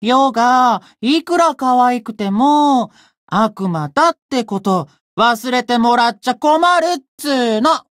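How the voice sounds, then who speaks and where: A woman voicing a cocky, bratty young demon who boasts pompously in rough slang, in a Japanese game character style. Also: fairly high pitch, lively intonation, slow, no room sound, studio quality. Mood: boastful, gleeful.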